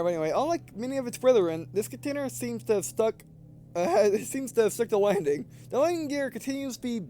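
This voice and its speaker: A man in his twenties giving casual, halting let's play commentary, often repeating phrases as he goes. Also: high-pitched, dark tone. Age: 20s. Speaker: gaming video host. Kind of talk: casual let's play commentary, reading game text aloud.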